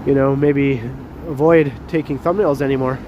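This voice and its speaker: A man's voice: medium pitch, steady tone, normal speed, dull audio. Stuffy-nosed man streaming outdoors. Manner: chatty, laughs often, greets chat readers by name. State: stuffy nose from a cold. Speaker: livestream host. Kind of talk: casual walking livestream chat. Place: city street outdoors at night, with traffic. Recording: outdoor street noise.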